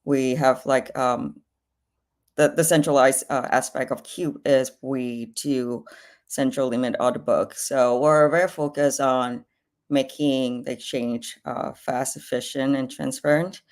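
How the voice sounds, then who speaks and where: A woman's voice: very deep voice, steady tone, unhurried, a little mellow. A woman from Vietnam, a native Vietnamese speaker, introducing her company in a casual, informal manner. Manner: casual, frequent "like". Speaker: company representative.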